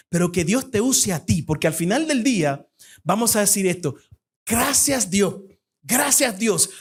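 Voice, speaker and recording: Fervent high-voiced Dominican preacher. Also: male voice. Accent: Dominican Spanish. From Dominican Republic. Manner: fervent, fast-talking preacher. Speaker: guest speaker at a church. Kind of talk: church appeal and testimony.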